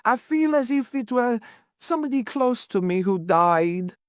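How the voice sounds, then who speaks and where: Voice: expressive, measured pace, clean tone, no room sound, clean studio sound, natural voice. A narrator voicing a fretful, sentimental landlady in an audiobook, plaintive and emphatic. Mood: shaken, mournful. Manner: plaintive, emphatic, high-strung. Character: fretful, sentimental, agitated middle-class landlady.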